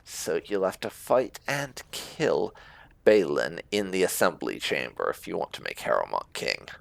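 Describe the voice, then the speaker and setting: A man's voice: low-pitched, wildly swooping pitch, a little slow, gravelly, no echo, low-quality recording. A man giving casual, conversational commentary on a game, explaining things as he plays. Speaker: gaming YouTuber.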